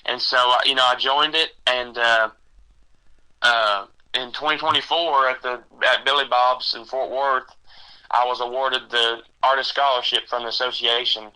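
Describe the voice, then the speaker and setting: Bright-voiced young man. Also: medium pitch, fairly even intonation, smooth, poor audio. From Texas. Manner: halting, rambling with many fillers. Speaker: country singer guest. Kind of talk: casual interview chat.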